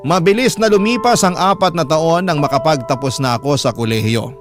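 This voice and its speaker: A man's voice: a little high, fairly quick. A man reading a scripted first-person story as a radio drama narrator. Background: music under the voice.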